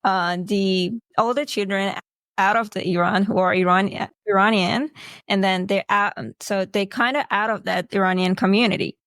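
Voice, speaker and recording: Female podcast host, mid-pitched voice, supportive, paraphrases the guest, on a video call. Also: fairly even intonation, moderate pace, fairly bright tone, no echo, clean studio sound. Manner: encouraging, restates the guest's points. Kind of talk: casual interview conversation.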